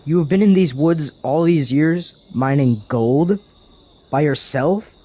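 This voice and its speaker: A man's voice: a little high, takes it slowly, mellow. A man playing a cautious, earnest young traveler in a fantasy audio drama, speaking formally as an anxious protector. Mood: wary.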